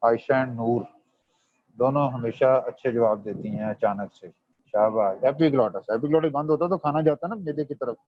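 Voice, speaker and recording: A man leads a medical revision class. He fires questions at his students and slips between Hindi and English terms. His voice sits at an ordinary pitch and comes through a dry, muffled, lo-fi microphone. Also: normal speed, dry recording. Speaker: medical lecturer. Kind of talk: online revision lecture. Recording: dull dry lo-fi audio.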